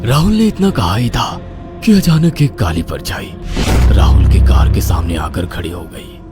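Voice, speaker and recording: A man's voice: low voice, a little slow. A man narrating a horror cartoon story in a dramatic, theatrical way. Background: background music.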